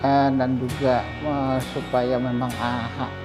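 A middle-aged man, a hospital director, explaining his clinic's services in a measured way, pausing often with fillers. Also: mid-range voice, level tone. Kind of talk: explanatory interview answers. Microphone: lapel mic. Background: background music.

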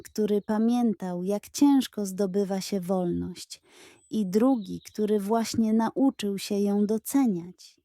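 A woman narrating a scripted children's story in a gentle, measured, expressive way. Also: medium pitch, dry recording, studio quality.

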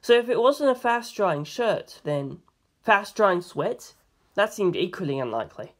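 Narrator reading a story aloud, mid-pitched. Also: very animated, a little slow. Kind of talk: scripted audiobook-style narration of fan fiction.

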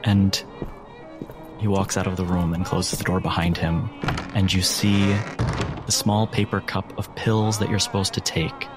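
A man working as game master, narrating a dramatic tabletop horror game deliberately, with pauses between phrases. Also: deep voice, flat delivery. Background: music.

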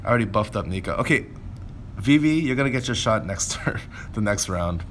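A man's voice: on the low side, animated, brisk, a bit bright, slight background noise. A man giving casual gameplay commentary, informally thinking aloud as he plays. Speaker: gameplay video creator.

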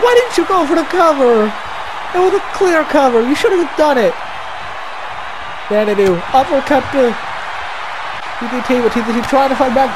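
A young man giving shouted, animated play-by-play of a wrestling video game, his voice getting hoarse. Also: very high voice, noisy background. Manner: shouting, animated, often rising to yells. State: losing his voice. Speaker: commentator.

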